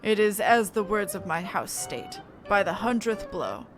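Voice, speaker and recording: A woman voicing a steadfast, formal noble mentor in a fantasy game, speaking in a measured, exhorting way. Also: mid-range voice, very animated, over music. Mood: encouraging.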